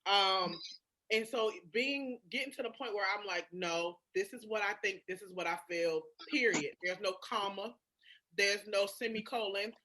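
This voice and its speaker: A woman's voice: mid-range voice, normal speed, pushed and bright, low-quality recording. A woman in a candid casual conversation, speaking emphatically and swearing freely. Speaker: podcast guest.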